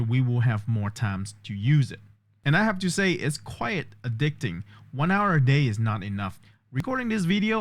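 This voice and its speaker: A man's voice: medium pitch, darkish tone, dry recording. A man vlogging a test of an AI voice assistant, explaining step by step and thinking aloud. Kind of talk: casual tech demo commentary.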